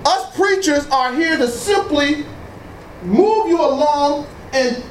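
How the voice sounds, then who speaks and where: A man preaching a sermon with a booming voice, leading call-and-response and exclaiming praise to God. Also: lively intonation, takes it slowly. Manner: exclaims praise phrases, leads the congregation in repetition. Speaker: pastor. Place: church hall. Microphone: mic switched off, picked up from a distance. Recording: echoey room from far off, faint noise.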